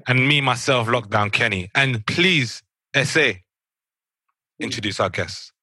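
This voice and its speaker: London podcast host, energetic hype, bright forward tone, dry close sound. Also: male voice, medium pitch, unhurried, no room sound. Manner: upbeat, hypes up co-hosts and guest. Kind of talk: podcast hosting.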